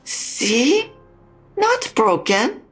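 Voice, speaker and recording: An actress playing a frank, consoling older mother near death in an audio drama, speaking slowly and haltingly with a husky, creaky voice. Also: high voice, big pitch swings, faint music behind, exaggerated voice. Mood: consoling, earnest. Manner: slow, halting, probing. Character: dying mother, frank, consoling, stubbornly insistent.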